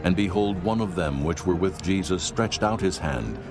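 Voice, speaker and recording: A man's voice: low-pitched, moderate pace. A man narrating scripture for an audiobook in a solemn, formal way. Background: background music.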